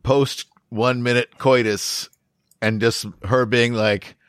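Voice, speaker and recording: A man's voice: mid-range voice, steady tone, slow pace, clean tone, no room sound, clean studio sound. A man co-hosting a comedy podcast, chatting and riffing. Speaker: podcast co-host. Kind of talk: comedic chat with bits.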